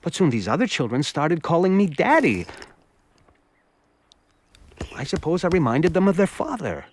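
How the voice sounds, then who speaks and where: An animated village simpleton. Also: male voice, on the high side, a little fast. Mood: musing, confiding. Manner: musing, rhetorical questions, folksy asides. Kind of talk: comic folk-tale narration for animated short. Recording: music behind the voice. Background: music.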